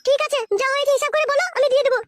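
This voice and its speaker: An actor voicing a coy, quarrelsome young woman in a comic cartoon, with an artificially pitched-up voice. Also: level tone, racing, slight background noise. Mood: indignant.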